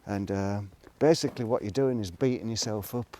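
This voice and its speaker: A man's voice: on the low side, brisk, dark tone, a little hiss or hum. A middle-aged man, rambling reflectively in a casual solo monologue, hesitant and thinking aloud as he goes. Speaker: solo video blogger.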